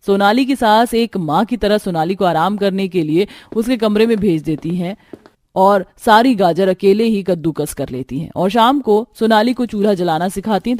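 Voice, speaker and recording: A woman's voice: mid-range voice, darkish tone, no room sound. A woman reading scripted storytelling narration for a cartoon.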